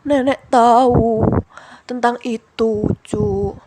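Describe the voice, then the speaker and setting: A woman voicing a gentle, patient old village grandmother in a storybook, with a slow, drawn-out, soothing delivery. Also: fairly high pitch, fairly even intonation, background noise. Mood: reassuring.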